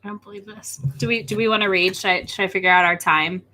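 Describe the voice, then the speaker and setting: A woman's voice: medium pitch, fairly even intonation, fast, bright, forceful tone. A woman hosting an online readalong, chatting casually and playfully teasing the others about books. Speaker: host of an online book readalong livestream.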